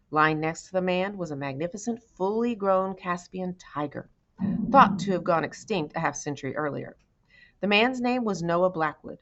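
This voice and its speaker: A woman narrates a storybook in a read-aloud style. Her voice sits a little low for a woman, and the recording is slightly dull and lo-fi. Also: fairly bright tone. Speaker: audiobook reader.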